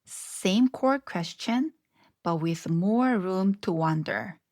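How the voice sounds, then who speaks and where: A middle-aged woman hosting her podcast, reading scripted narration in a reflective, unhurried way, her voice a little scratchy from illness. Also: mid-range voice, expressive, a little mellow, no echo, studio quality. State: slightly scratchy voice after strep throat. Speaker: podcast host.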